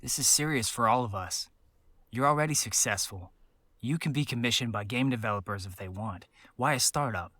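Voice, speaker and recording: A man's voice: mid-range voice, fairly quick, sharp tone, dry recording. An actor playing a blunt, skeptical young male music producer with a serious, challenging manner in a visual novel game. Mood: skeptical, stern.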